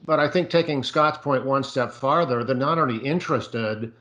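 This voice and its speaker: A man on a virtual panel, his voice in an ordinary middle register. He talks expansively, thinking aloud and filling pauses with small fillers as he builds his point over a video call. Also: mid-range voice, fairly bright tone.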